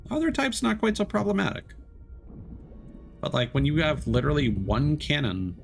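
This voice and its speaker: A man's voice: on the high side, big pitch swings, moderate pace, creaky. A man casually talking through his game as he plays, rambling and correcting himself mid-sentence. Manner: rambling, self-interrupting, mild swearing. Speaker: streamer. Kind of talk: casual gameplay commentary. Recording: game music behind.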